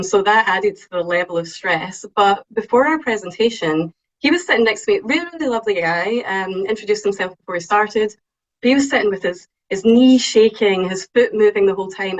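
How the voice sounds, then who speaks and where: A woman with a strong Scottish accent giving an online talk, lively and excitable, with frequent ums. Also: mid-range voice, rough recording. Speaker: invited speaker. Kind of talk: webinar talk on presenting.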